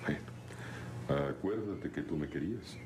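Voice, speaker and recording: Very deep-voiced, remorseful suitor. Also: male voice, lively intonation, slow pace, background noise, exaggerated voice. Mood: insistent. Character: remorseful, earnest landowner pleading with former love. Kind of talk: 1970s telenovela acting. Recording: old TV audio under loud music.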